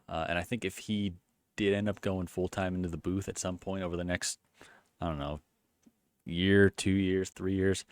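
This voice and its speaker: Low monotone man recapping golf. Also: dry recording. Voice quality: monotone, low-energy delivery. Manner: rambling, many fillers and restarts. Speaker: golf channel host. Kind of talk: casual commentary recap.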